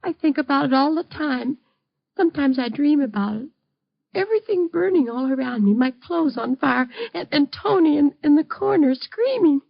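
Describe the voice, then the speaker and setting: An actress playing a frightened, lonely bedridden mother in 1950s radio drama, breathy and fragile, pleading bitterly with agitated repetition. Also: high-pitched, lively intonation, normal speed. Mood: haunted, distressed. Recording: dull lo-fi old radio recording.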